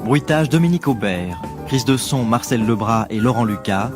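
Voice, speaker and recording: A man's voice: mid-range voice, animated, normal speed. A man announcing the closing credits of a radio drama in a scripted style. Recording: loud music behind the voice.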